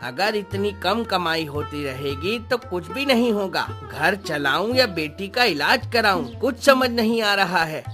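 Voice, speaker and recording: A man's voice: high voice, animated, unhurried, few pauses, bright, forceful tone, faint music behind, full character voice. A man voicing a despairing poor woodcutter and worried father in a cartoon story, plaintive in manner. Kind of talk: acted Hindi moral story cartoon dialogue.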